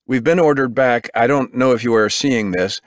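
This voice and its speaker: A tense TV field reporter talks in a raised, urgent voice, pitched well above the reader's own, as a narrator puts him on. The sound stays dry and close. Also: male voice, normal speed, no room sound, lightly acted. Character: male on-scene TV reporter, tense, breathless.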